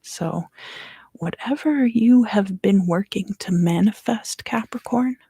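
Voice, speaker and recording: A woman giving an ASMR tarot reading, soft-spoken and often whispering, explaining things unhurriedly in short phrases, close to the microphone. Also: medium pitch, takes it slowly, slightly rough. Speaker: tarot reader and ASMR host.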